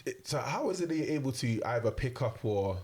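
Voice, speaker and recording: A man's voice: mid-range voice, expressive, a little fast, darkish tone. A man, co-hosting a podcast and chatting casually, playful but reflective at times. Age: 20s. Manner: playful, laughs, then reflective.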